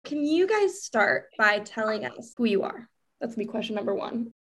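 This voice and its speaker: A woman's voice: a little high, very animated, moderate pace, a bit bright. Upbeat woman hosting an interview. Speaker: podcast host. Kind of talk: casual interview chat and scripted intro narration.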